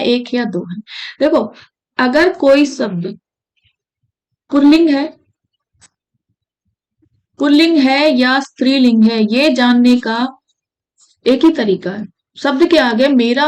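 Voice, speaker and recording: A woman from India teaches an exam-prep grammar lesson, her voice sitting a little high. She repeats each key phrase and keeps checking in with her viewers as she works through the answers. She speaks close to the mic in a solo teaching video. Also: darkish tone.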